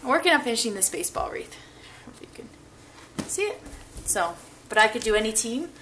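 A woman's voice: a little high, very animated, a little fast, fairly bright tone, slight background noise. A woman, chatting upbeat and straight to her viewers in a casual family vlog, filmed on a handheld camera at arm's length. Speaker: family vlogger. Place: car, then a home kitchen and living room.

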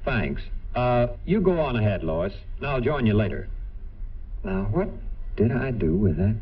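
A man's voice: on the low side, very animated, a little slow, far from the mic. A man playing a mild, polite, easygoing young newspaper reporter in a 1940s cartoon. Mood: puzzled. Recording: dull, noisy 1940s film soundtrack.

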